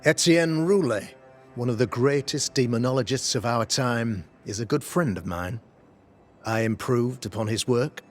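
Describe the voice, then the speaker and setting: Male actor as a self-righteous Puritan governor, middle-pitched, pompous, formal, preacherly, video game drama, soft music underneath. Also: normal speed, natural voice. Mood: proud, self-assured.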